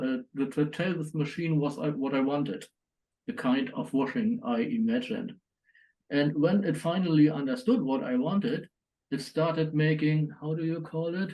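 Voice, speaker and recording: A man telling a story in a casual group call, pausing often to search for words. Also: a little high, warm tone, rough recording. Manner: slow, searching for words with many uh pauses. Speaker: meetup participant.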